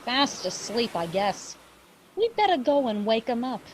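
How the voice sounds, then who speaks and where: A noisy radio play recording of a woman playing a practical, take-charge character. Her voice is high and swings widely in pitch, with plain, folksy phrasing. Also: very animated, a little slow. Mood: casual. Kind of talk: radio drama acting.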